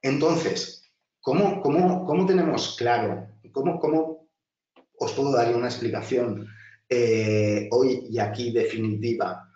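A man teaches a design class over slides, chatty and full of restarts. His voice sits at an everyday middle pitch. It comes a distance into a roomy space, with dull, muffled audio. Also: a little slow, dark tone. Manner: chatty, many restarts and filler, addresses class directly. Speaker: design instructor. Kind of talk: webinar lecture over slides.

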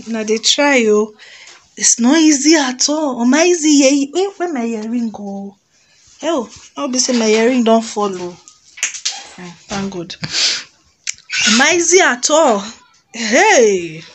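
A Nigerian woman in her thirties chatting casually to camera in an expressive, exclamatory storytelling way, recorded on a phone in a room at home. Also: medium pitch. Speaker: vlogger. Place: small indoor room at home.

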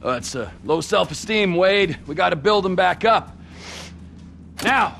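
An actor playing a volatile, crude middle-aged male criminal in a video game, mocking and domineering, swinging from mock-gentle to barking. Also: sits high, lively intonation, a little slow, gravelly, slight background noise, heavily acted. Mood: casual, patronizing. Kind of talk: video game cutscene acting.

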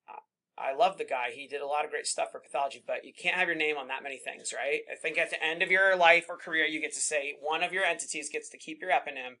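A middle-aged man, a pathologist, teaching residents in a relaxed, conversational way and reassuring them often. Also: on the high side. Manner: conversational, reassuring, many fillers like "kind of" and "right?". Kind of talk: informal teaching at a slide session.

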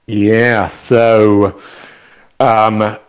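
A man from England with an English accent, an author answering interview questions in a reflective, halting storytelling way. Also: lowish voice, level tone. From East Anglia, England; lives in Canada. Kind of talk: conversational interview, storytelling explanation.

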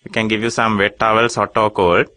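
Stammering Sri Lankan radio prankster. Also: male voice, on the low side, flat delivery, fairly quick, pushed and bright. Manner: hesitant, stammering, fake customer-service politeness. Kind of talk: prank phone call.

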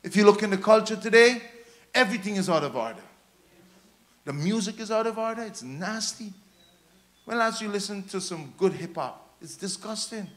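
Guyanese man, pastor at the pulpit. Very high-pitched, forceful delivery, exhorting and driving phrases home by repetition. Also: expressive, moderate pace. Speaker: pastor preaching. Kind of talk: sermon. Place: church stage, indoors. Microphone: handheld microphone.

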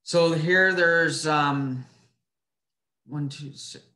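A man giving an informal slide presentation over a video call, in a somewhat halting, hesitant way. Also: fairly high pitch, steady tone, bright, forceful tone. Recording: roomy echo on webinar audio.

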